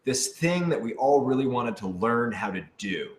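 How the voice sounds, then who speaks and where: A man's voice: mid-range voice, expressive, normal speed. A man, a voice actor, chatting off the cuff in a playful, informal way during a question-and-answer session. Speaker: voice actor on a radio drama. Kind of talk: casual unscripted Q&A chat. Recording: echoey room with clear background noise.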